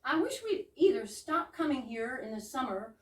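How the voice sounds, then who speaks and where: A woman acts a huffy, prim older sister in a 1920s stage comedy, heard from a distance in a roomy hall. Her high voice swings widely as she scolds. She sounds exasperated and indignant. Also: very animated, normal speed. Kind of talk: amateur stage readers theater, 1920s comedy. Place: live reading in a roomy indoor space. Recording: echoey room, distant mic.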